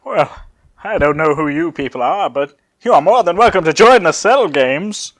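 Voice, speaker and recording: A man's voice: fairly high pitch, lively intonation, normal speed, close to an everyday voice. A man voicing a vain, theatrical male android villain with a pompous, contemptuous manner. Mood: condescending.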